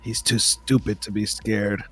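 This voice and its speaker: A man's voice: on the low side, level tone, a little slow, over music. A man playing a tabletop roleplaying game in character, speaking in a commanding, instructional manner.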